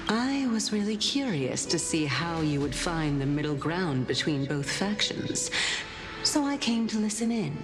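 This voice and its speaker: Low, languid, teasing enigmatic woman. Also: very animated. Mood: probing. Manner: measured, teasing, theatrical. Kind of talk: dramatic video game voice acting. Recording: reverberant hall, music underneath.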